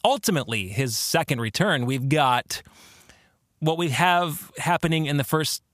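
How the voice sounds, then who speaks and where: A man hosting a podcast, explaining theology in a monologue with deliberate, emphatic phrasing. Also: fairly high pitch, expressive, a bit bright, no room sound, clean studio sound. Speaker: podcast host and author.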